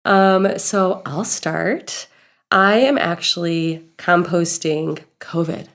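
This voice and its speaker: A woman's voice: on the low side, slow. A young woman co-hosting a casual podcast, sounding nasal and stuffed up from illness, playful and giggly. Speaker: podcast co-host, healing coach.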